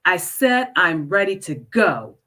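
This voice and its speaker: A woman's voice: fairly low pitch, very animated, slow, bright, forceful tone, recorded from a distance, full character voice. A woman voicing a jealous, demanding young woman who snaps out commands in a story reading. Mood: furious, demanding. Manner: snapping, commanding.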